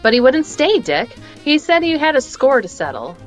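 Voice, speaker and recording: Animated, earnest young woman played by an actress. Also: sits high, moderate pace, soft background music. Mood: earnest, helpful. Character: earnest young female detective's girlfriend. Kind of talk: radio play table read.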